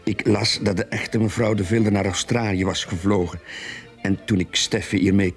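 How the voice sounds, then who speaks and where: An actor playing a sly, smooth-talking, easygoing swindler telling his story in a radio drama. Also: lowish voice, flat delivery, moderate pace, soft background music, lightly acted. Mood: genial.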